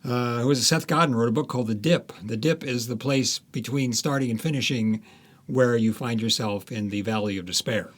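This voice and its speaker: A man's voice: mid-range voice, a little fast. An American man in his seventies from Los Angeles, an author reminiscing casually in an interview. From Los Angeles, United States. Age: 70s.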